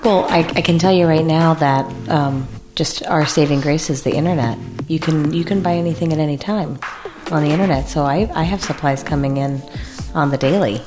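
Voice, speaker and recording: Lonely, apologetic vampire played by a woman, American, low register, halting earnest delivery, improv radio play over music. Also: close to an everyday voice. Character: lonely, clingy, apologetic sun-shunning vampire.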